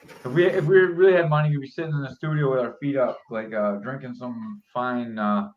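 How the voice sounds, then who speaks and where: A man in his forties hosting a podcast, bantering loudly and laughing, calling people "dog". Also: mid-range voice, fairly even intonation, a little fast. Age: 40s. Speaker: podcast host. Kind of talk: casual chat. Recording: dull lo-fi sound with faint noise.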